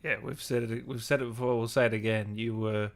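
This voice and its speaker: A man's voice: mid-range voice, fairly even intonation, brisk. Informal man hosting a casual interview. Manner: informal, mildly sweary, enthusiastic. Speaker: interview host. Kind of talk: casual interview chat, reading viewer questions.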